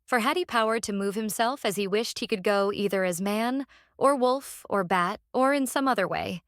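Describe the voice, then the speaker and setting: An earnest, devoted young wife voiced by a woman reads from her diary in an audiobook drama. She speaks carefully and deliberately, as if reasoning things out, in a dry, intimate recording at a middling pitch. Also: fairly bright tone, dry recording, natural voice. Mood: analytical, deliberate.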